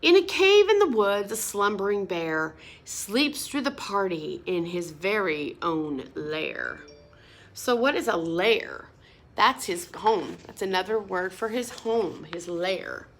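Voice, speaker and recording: A woman reading a picture book aloud to children in a sing-song, expressive way, pausing to explain words and ask questions. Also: mid-range voice. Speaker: storybook reader.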